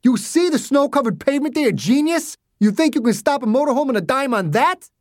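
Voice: medium pitch, sing-song, brisk, no room sound, studio quality, pitched above the performer's own voice, natural voice. A narrator voicing a belligerent, foul-mouthed man past middle age who throws insults in an audiobook story. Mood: screaming anger.

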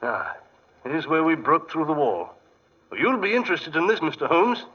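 An actor playing an excitable, fussy English gentleman archaeologist in 1940s radio style, often hesitant, with an English accent. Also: a little high, normal speed. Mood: fussy, guiding. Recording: muffled 1940s radio broadcast.

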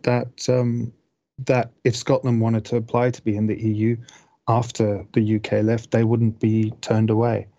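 Guy from zimbabwe on a podcast, mellow voice, explains stuff carefully and haltingly, dry close mic. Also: fairly low pitch, no echo. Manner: measured, careful with wording, halting. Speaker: podcast panelist. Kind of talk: casual explanatory talk. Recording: dull, dry close recording.

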